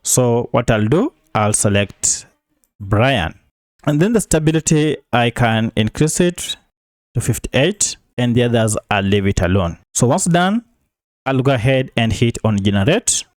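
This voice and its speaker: A man's voice: mid-range voice, very animated, unhurried, no echo. A man narrates a screencast tutorial in a mid-range voice. He explains each step plainly, as an instructor would, on a close, dry recording. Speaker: software tutorial presenter.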